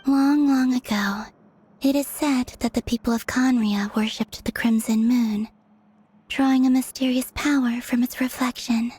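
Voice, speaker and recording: A woman voicing a soft-spoken, learned woman in a video game, telling old history in a hushed, whispery way. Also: a little high, fairly even intonation, unhurried, breathy edge.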